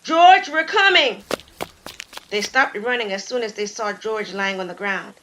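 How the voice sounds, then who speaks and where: A woman narrating an audio drama in a measured, deliberate storytelling manner. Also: medium pitch, bright, forceful tone, a little hiss or hum, rough recording.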